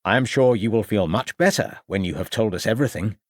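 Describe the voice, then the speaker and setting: A man's voice: on the low side, expressive, a little fast, no room sound, clean studio sound. A man voicing a stern, persistent police interrogator who fires off accusing questions. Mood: interrogating. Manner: hectoring questions. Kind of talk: audiobook character voice.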